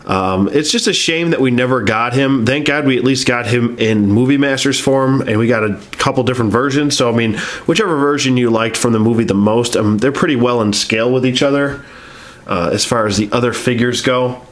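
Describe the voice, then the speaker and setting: A man's voice: medium pitch, a little fast. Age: adult. Sex: man. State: sick, stuffy nose. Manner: rambling, full of ums. Role: toy collector doing a casual review. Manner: rambling, hesitant, lots of ums and uhs. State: sick and stuffy-nosed, sniffling. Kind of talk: casual review chat to camera.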